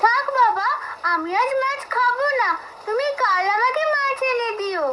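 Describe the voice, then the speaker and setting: A woman playing a sweet, plaintive little village girl in an animated folk tale. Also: very high voice, measured pace, noisy background. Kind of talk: Bengali cartoon dialogue.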